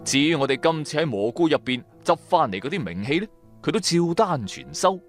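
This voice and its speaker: Radio drama lead: male actor as wry, streetwise businessman narrator, Cantonese, colloquial and highly expressive over soft music. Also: brisk, natural voice. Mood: storytelling. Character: streetwise, wry businessman turned tomb raider. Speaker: narrator and main character of an audio drama.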